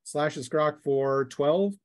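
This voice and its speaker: A man's voice: fairly high pitch, very slow, no room sound, clean studio sound. A man acting as game master, narrating a tabletop game in plain, colloquial play-by-play.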